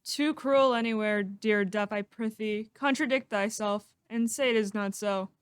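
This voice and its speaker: A woman's voice: mid-range voice, measured pace, smooth, close to an everyday voice. A woman playing a solemn, steadfast and honourable general in an amateur Shakespeare reading. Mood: grieving. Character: steadfast, honourable general Banquo, grieving yet resolute.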